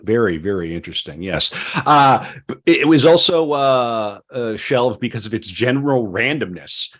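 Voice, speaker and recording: A man hosting a podcast discussion, speaking with deliberate, emphatic phrasing and at times reading from prepared notes. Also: mid-range voice, animated, a bit bright. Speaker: podcast host.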